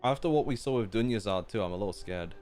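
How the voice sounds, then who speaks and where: A man's voice: on the low side, expressive, fast, a little mellow. A man giving casual, slangy, chatty commentary as he streams a game, with music faintly behind him. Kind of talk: casual reaction commentary while gaming.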